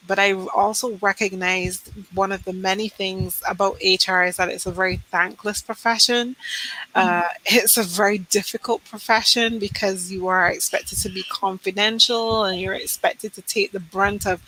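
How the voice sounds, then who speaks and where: A woman host from Manchester talks in a friendly, earnest way. Her voice is bright and forward. Now and then she gets a little tongue-tied mid-sentence. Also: medium pitch, steady tone, measured pace, rough recording. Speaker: co-host, HR consultant. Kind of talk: casual podcast chat.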